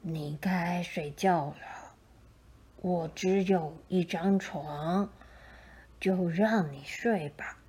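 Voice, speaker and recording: A woman voicing a kind, dignified old man in a storybook, speaking gently and slowly. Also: fairly low pitch, husky, voice pushed down, heavily acted. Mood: kindly, weary. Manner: slow, drawn-out, gentle delivery.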